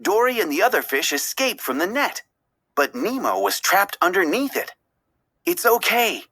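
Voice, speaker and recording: A woman narrating a children's story aloud, expressively, with deliberate, dramatic phrasing. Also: on the low side, big pitch swings, a bit bright.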